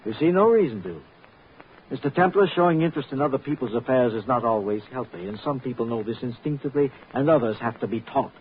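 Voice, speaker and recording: A man's voice: medium pitch, animated, fast talker, lightly acted. Guy doing a fake-friendly gangster boss, swoopy voice, courteous but threatening, old narrow radio sound. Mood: veiled menace. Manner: affable menace, hesitant courtesy, echoes others' words. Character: oily, falsely genial nightclub boss crime villain. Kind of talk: old-time radio crime drama acting. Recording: tinny old radio broadcast, noisy.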